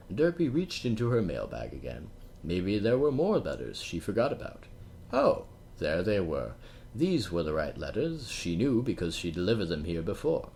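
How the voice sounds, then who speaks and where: A man's voice: low-pitched, darkish tone, a little hiss or hum. A man narrating a storybook, slipping into playful, teasing asides to the listener. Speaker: audiobook narrator. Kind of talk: storybook reading.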